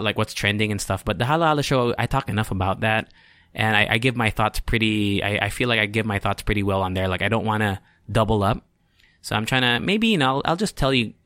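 A man's voice: lowish voice, pushed and bright, no echo. A young man with a Filipino accent hosting his own podcast, talking in a rambling, chatty, self-deprecating way and dropping in Tagalog words. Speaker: podcast host. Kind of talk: casual solo podcast monologue.

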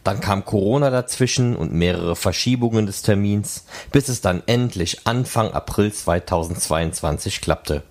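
A man's voice: lowish voice, moderate pace, hardly any pauses. A man presenting and reporting as the host of a fan podcast. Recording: noisy, ambient background sound.

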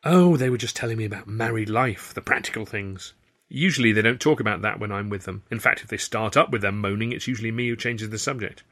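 A man's voice: on the low side, quick pace, fairly bright tone. Man voicing a guarded young Englishwoman, firm and earnest. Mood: chatty. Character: young woman performer, brisk, guarded, firm.

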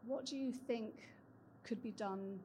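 A woman's voice: a little high, unhurried, warm tone, a little hiss or hum. A woman hosting a live panel discussion, asking questions with many hesitant ums. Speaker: panel host. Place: event venue, live audience.

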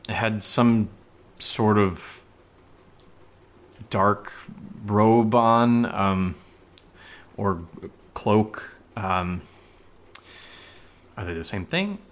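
Man acting as a nervous young man, stammering, rambling, slow-talking, lowish voice, horror audio drama. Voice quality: hesitant, stammering, rambling with many fillers.

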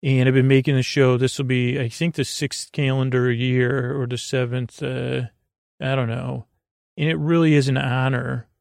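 A man hosting a sleep podcast with a rambling, improvised monologue, halting and meandering through tangents with whimsical, gently silly wordplay. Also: mid-range voice, level tone, vocal fry, no room sound, clean studio sound. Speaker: host of a bedtime sleep podcast.

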